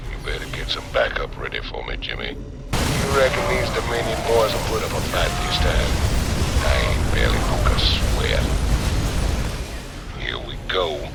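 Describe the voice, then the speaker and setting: Very deep gravelly Southern convict marine. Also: male voice, big pitch swings, normal speed, full character voice. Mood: lazy swagger. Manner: drawling, swaggering, folksy. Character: big cocky gruff convict marine, gleefully destructive. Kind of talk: video game character acting. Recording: loud game music behind.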